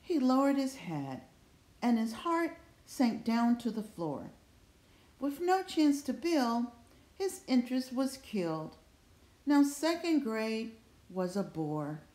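A woman reads a children's story in rhyme. Her voice is high and animated, with an unhurried sing-song delivery that brings out each verse. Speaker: storybook reader. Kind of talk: expressive children's read-aloud of rhyming story. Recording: roomy, echoing indoor sound.

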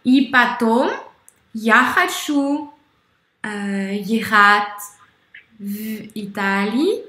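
A young woman with a French accent, speaking slowly and haltingly on a video call, searching for words with many fillers. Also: on the high side, bright, forceful tone, reverberant, a little hiss or hum. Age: young adult. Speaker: language-learning video host. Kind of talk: halting conversation in a learned language. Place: small room indoors. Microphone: red headphones, webcam video call.

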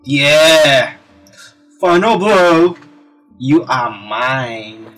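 Slow-paced man commentating over game music. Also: medium pitch, a bit bright, poor audio. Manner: exclamatory, reactive, talking to himself. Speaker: let's play gamer. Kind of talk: casual gameplay commentary. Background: video game music.

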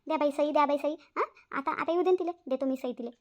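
A woman's voice: sits high, rapid-fire, darkish tone, lo-fi sound, lightly acted. A woman playing a chatty, aggrieved elder sister on the phone in a Marathi cartoon, with a Varhadi accent and a repetitive, gossipy way of talking. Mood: indignant, complaining. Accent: Varhadi Marathi from Vidarbha. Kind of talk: Marathi cartoon family-drama dialogue.

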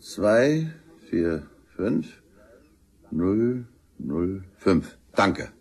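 An actor in a German crime radio play, playing a composed, patient police inspector with a terse, formal, polite manner. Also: male voice, low-pitched, big pitch swings, extremely slow, vocal fry, darkish tone, husky, full character voice. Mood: probing.

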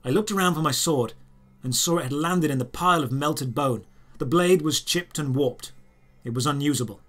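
A man narrating a scripted audio drama in the first person, in measured, deliberate phrases. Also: a little high, fairly bright tone. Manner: measured, storytelling delivery in short deliberate phrases.

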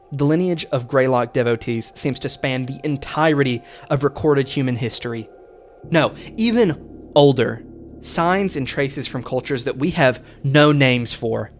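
A man hosting a video essay, reading scripted narration with a deliberate, dramatic storytelling delivery. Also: medium pitch. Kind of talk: scripted narration of horror series recap. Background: background music.

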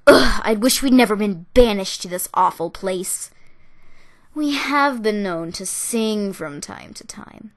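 Woman as a sullen, deadpan teen siren girl. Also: mid-range voice, measured pace. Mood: sullen, sarcastic. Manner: deadpan, dismissive. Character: grumpy, sarcastic teenage girl siren, bored and sullen. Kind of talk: cartoon character voice reel.

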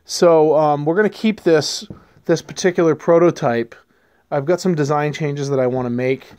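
A man in his thirties hosting a casual homesteading vlog, talking in a relaxed, rambling way with many ums and restarts, recorded on a handheld camera outdoors and in a workshop. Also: on the high side, normal speed, dry recording. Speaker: homesteading channel host. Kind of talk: casual hands-on vlog narration. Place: outdoors beside a pickup truck in snow, later a workshop.

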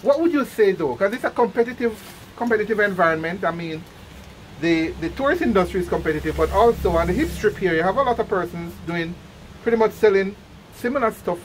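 A man's voice: high voice, lively intonation, fast. A man with a Jamaican accent interviewing casually on location, enthusiastic and often repeating his words. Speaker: vlog host and interviewer. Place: small gift shop on a busy street. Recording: noisy handheld on-location recording, traffic hum.

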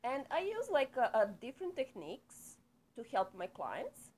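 Serbian-accented woman, measured and hesitant. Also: high-pitched, wildly swooping pitch, darkish tone. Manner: unhurried, frequent hesitations, light laughs. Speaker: psychotherapist guest. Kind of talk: casual interview.

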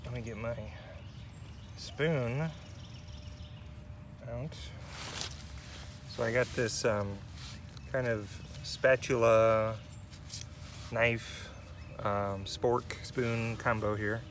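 A man's voice: medium pitch, steady tone, very slow, pauses often. Chatty man cooking in the woods. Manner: chatty, self-deprecating, thinks aloud with fillers. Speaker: outdoor vlogger. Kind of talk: casual outdoor cooking commentary. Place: outdoors in autumn woods. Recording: outdoor recording with clear background noise.

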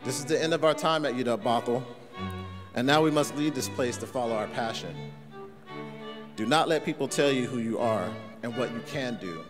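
A man's voice: medium pitch, moderate pace. A man delivering a formal, inspirational speech as a graduate student speaker at a podium. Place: large indoor arena. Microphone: podium microphone. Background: prominent string music.

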